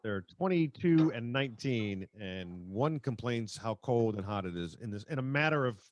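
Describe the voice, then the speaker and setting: A man's voice: medium pitch, lively intonation, moderate pace, slight background noise. A middle-aged man hosting a sports podcast in a chatty, wry, conversational way. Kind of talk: casual conversational banter.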